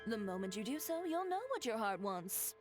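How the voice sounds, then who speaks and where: Poised, high-voiced woman tailor. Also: big pitch swings, normal speed, faint music behind. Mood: teasing, coaxing. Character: poised, perceptive woman tailor, gently coaxing a customer. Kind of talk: scripted video game character dialogue.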